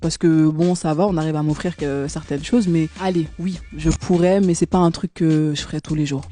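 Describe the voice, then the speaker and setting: A woman's voice: low-pitched, fairly even intonation, normal speed, warm tone. A woman singer answering interview questions casually, with slang, frequent giggles and many hesitations.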